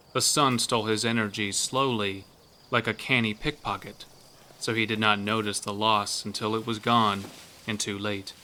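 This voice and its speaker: Low, bright-edged male story narrator. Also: slight background noise. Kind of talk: scripted literary story narration.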